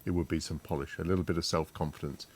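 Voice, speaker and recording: A man speaking as a TV panelist in a measured, considered way. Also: a bass voice, fairly even intonation, a little mellow, slightly rough. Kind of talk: studio discussion.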